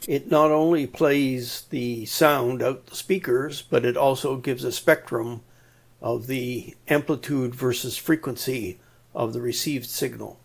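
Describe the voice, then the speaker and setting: Male hobbyist presenter, unhurried and slightly gravelly, plain-spoken, walking step by step through technical work. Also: mid-range voice, steady tone. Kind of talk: explanatory DIY electronics narration.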